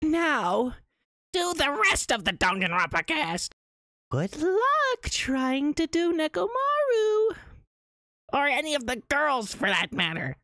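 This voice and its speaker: Woman putting on a cartoon robot bear villain, high-pitched, wildly swooping, gleefully sadistic, theatrical, teasing game mascot. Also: wildly swooping pitch, pushed and bright, no echo, clean studio sound, full character voice. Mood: smug, mocking. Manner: theatrical, singsong taunting with drawn-out words. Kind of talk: hobby character impression, game villain.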